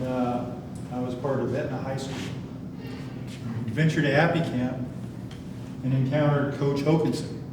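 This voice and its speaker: An older man in his sixties addressing a town hall crowd, folksy and rambling, with a flat delivery he calls monotone himself. His pitch is ordinary. He is heard from a distance in a very echoey hall, with clear noise behind him. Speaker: county department director. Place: community meeting hall.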